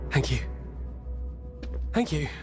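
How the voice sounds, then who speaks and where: Guy acting a desperate scientist in a game, begging breathlessly, loud scary music behind. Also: slow, natural voice. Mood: relieved. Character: frantic, cornered male scientist pleading for his life. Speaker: professor, scientist.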